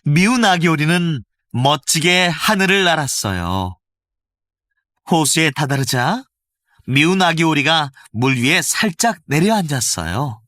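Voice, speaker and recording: A male voice actor reads a children's fairy tale in a gentle, expressive storytelling manner. His voice is bright and fairly high for a man, with a lively, swooping melody. The close studio recording is dry. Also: unhurried, no echo. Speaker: voice actor narrator.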